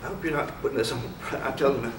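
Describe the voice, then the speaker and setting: A man's voice: medium pitch, fast, a little hiss or hum. A man giving an informal lecture to an audience, speaking frankly and conversationally and asking many rhetorical questions. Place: lecture room. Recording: roomy hall, mic far off.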